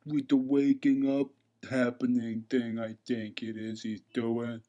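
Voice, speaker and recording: Man as a slow, muddled henchman. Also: medium pitch, little pitch movement, warm tone, highs cut off, lightly acted. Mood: dim, watchful. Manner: halting, muddled syntax.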